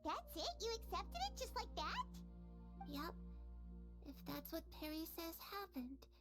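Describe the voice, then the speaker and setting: A woman's voice: very high voice, big pitch swings, full character voice. A woman voicing the chatty, curious ghost of a young girl in a game cutscene, talking in a bubbly, wondering way. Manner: bubbly, talkative, slow and wondering. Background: game music score.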